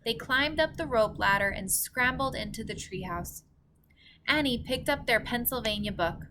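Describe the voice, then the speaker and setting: A woman's voice: a little high, animated, moderate pace, noisy background. A woman reading a storybook aloud like a teacher, enunciating carefully for young children. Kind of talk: storybook read-aloud narration.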